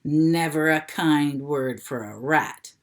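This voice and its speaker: Woman doing a sulky male rat: slow, sarcastic, grumbling, storybook. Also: low-pitched, pitched below the performer's own voice. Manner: drawling, sarcastic, mocking. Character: grumpy, sarcastic male rat, lazy and self-pitying.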